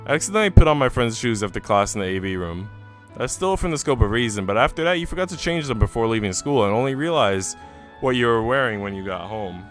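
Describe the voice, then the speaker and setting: A man's voice: mid-range voice, animated, fast, soft background music, close to an everyday voice. A man reading the part of a teasing woman talking to her longtime companion in a visual novel. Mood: fond, teasing. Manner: teasing, familiar. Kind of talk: visual novel dialogue read aloud.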